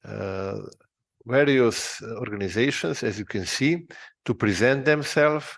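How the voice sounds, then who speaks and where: A man walking through a product demonstration, speaking in a halting way with frequent fillers. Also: mid-range voice, creaky. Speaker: presenter. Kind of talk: recorded product walkthrough.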